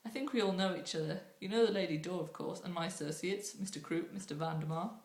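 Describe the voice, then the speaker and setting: A woman reading aloud and voicing a gentle, sinister angel who speaks softly and courteously, in a roomy room. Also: lowish voice, fast, natural voice. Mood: silky courteous. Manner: soft, courteous, purring menace. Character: gentle, sinister angel, silky and falsely kind. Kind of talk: audiobook reading.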